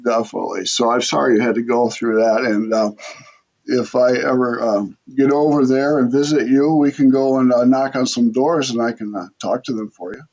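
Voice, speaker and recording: A man hosting an interview, chatting in a relaxed and encouraging way. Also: mid-range voice, normal speed, lo-fi sound. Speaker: interviewer host. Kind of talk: casual interview chat.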